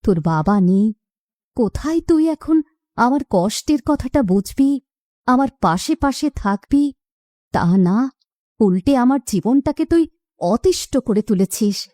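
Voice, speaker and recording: A woman's voice: a little high, animated, slow pace, long pauses, dark tone, no echo, studio quality. A woman playing a weary, plaintive widowed village mother in a cartoon. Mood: reproachful. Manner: plaintive, careworn. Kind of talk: cartoon voice acting.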